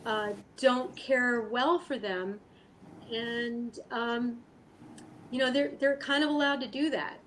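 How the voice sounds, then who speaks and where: A woman speaking as an interview guest, answering casually in an earnest, halting, thoughtful way. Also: a little high, a bit bright, a little hiss or hum, low-quality recording. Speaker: guest artist and animal rescue volunteer.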